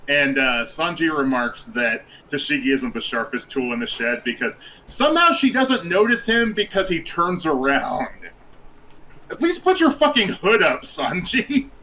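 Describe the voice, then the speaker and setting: A man's voice: on the high side, big pitch swings, measured pace, sharp tone, slight background noise, low-quality recording. A man co-hosting a casual podcast, making crude jokes and laughing at his own lines. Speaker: podcast co-host. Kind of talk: casual chat.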